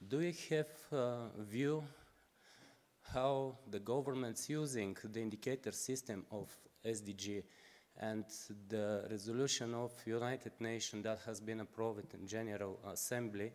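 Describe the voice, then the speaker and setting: A man in his forties with a Bulgarian accent, asking a halting, unscripted question at a conference lectern microphone. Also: lowish voice, steady tone, a little mellow. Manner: halting, non-native phrasing. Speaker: audience questioner.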